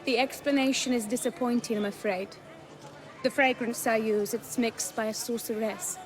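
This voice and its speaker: A woman's voice: fairly high pitch, steady tone, normal speed, music in the background, close to an everyday voice. An actress playing a gracious, reserved noble lady in a video game, speaking in a formal, courtly way. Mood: politely aloof.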